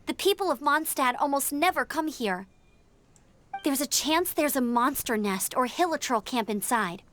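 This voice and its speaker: A woman playing a spirited young female scout in a fantasy video game, earnest and energetic in her delivery. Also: sits high, normal speed, a little hiss or hum. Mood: serious, wary. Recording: game audio with music behind.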